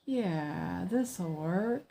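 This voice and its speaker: Slow, low-voiced woman, slightly hoarse, chatty and rambling, casual home stitch-along host. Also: sing-song, mellow. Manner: chatty, rambling, many ums and self-corrections. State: hoarse from allergies. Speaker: cross-stitch streamer.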